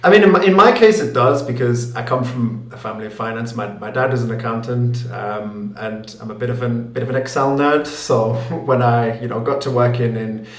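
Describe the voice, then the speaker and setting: A man's voice: mid-range voice, moderate pace, few pauses. A man, a guest answering interview questions with plenty of fillers and restarts. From Newcastle, England. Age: late 20s. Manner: thoughtful, many fillers and restarts, laughs easily. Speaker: guest, finance YouTuber. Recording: roomy echo.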